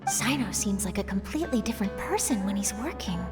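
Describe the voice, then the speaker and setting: A woman playing an eager, bubbly young female reporter with a chirpy, expressive delivery in a video game. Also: mid-range voice, a little fast, over music, lightly acted. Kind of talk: English video game voice acting.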